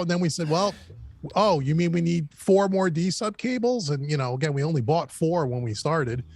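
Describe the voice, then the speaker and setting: Guest, slightly high male voice, dry close sound, wry rambling storyteller. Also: dry recording. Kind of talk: casual conversation.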